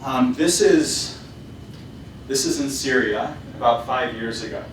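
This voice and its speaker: A man's voice: medium pitch, a little slow, distant mic, background noise. A man giving a casual presentation as a company founder, with many fillers and restarts. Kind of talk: presentation to students. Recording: very echoey hall, voice from afar.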